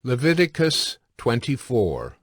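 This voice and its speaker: Slow, expressive male scripture narrator. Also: mid-range voice, breathy edge, dry recording, studio quality. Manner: solemn, deliberate storytelling.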